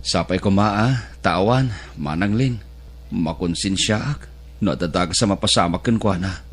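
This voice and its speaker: A man voicing a humble, tired worker abroad in an audio drama, with an expressive, sighing delivery. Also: low-pitched, a little slow. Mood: grateful. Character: humble man working abroad, weary and grateful.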